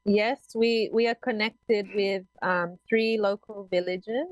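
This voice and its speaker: A woman guest on a radio show describing her family's resort, relaxed and friendly. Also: mid-range voice, takes it slowly, no echo. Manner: relaxed, friendly, laughs easily. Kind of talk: casual radio interview.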